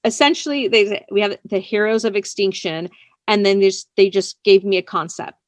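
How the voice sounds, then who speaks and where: A woman's voice: medium pitch, steady tone, normal speed, no echo. A woman writer speaking casually as an interview guest, chatty, often laughing as she talks. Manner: chatty, laughs while talking.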